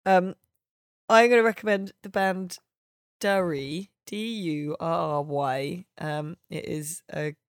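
A woman's voice: fairly low pitch, steady tone, takes it slowly, no echo, clean studio sound. A woman hosting a podcast in a casual, chatty style. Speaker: podcast host.

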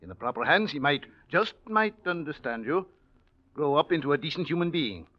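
Actor as a stern, animated older uncle. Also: male voice, on the high side, fairly quick, close to an everyday voice. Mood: stern, scolding. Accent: American. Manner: blunt, severe, clipped. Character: stern, disapproving older uncle, bluntly moralizing. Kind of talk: 1940s radio drama acting. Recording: muffled old radio recording.

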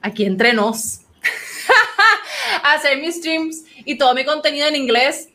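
Animated woman co-host, high voice. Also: takes it slowly. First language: Spanish. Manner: animated, emphatic, asks questions. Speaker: podcast co-host. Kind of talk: casual chat on a podcast.

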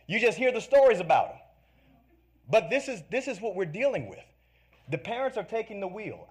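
A man speaking as a parent at a school board meeting, giving a forceful, impassioned public comment and repeating rallying lines. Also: a little high. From North Carolina.

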